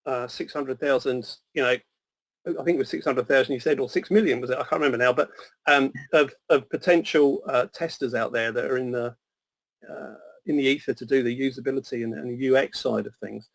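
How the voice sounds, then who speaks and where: A man's voice: mid-range voice, a little fast, gravelly, low-quality recording. A man hosting a webinar, asking long questions in a hesitant, rambling way, heard over a video call. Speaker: webinar host. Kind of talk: interviewer questions.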